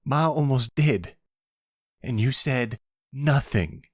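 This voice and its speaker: A man's voice: medium pitch, lively intonation, slow, husky, no room sound, clean studio sound, pitched above the performer's own voice. A man playing a hot-headed, slangy young son and loyal brother, blunt and exasperated, in an audio roleplay. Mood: hurt, angry. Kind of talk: ASMR audio roleplay drama.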